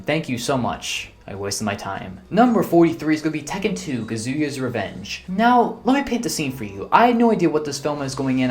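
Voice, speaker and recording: Young male film reviewer, rapid, sarcastic and blunt, mid-pitched. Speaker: movie reviewer. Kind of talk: casual ranking commentary.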